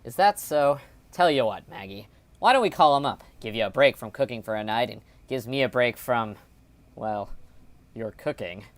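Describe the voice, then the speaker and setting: A man's voice: medium pitch, lively intonation, moderate pace, no room sound, close to an everyday voice. Man acting an offhand, bossy 1950s husband, close and dry. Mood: dismissive. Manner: offhand, pushy, teasing. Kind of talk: audio drama acting.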